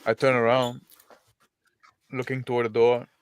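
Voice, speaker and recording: A man playing a tabletop roleplaying game, casually describing his character's actions in halting, piecemeal phrases. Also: medium pitch, completely flat delivery, smooth. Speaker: player.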